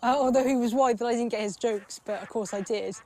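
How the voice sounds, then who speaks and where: A woman's voice: a little high, quick pace. A young woman talking outdoors by a river in a polite, easygoing way. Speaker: dating show participant. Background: music.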